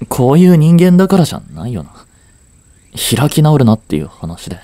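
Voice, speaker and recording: A man's voice: on the high side, big pitch swings, a little fast, warm tone, no echo. A man acting an aloof, blunt but devoted young boyfriend in a romantic audio drama, curt and intimate. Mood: apologetic, tender.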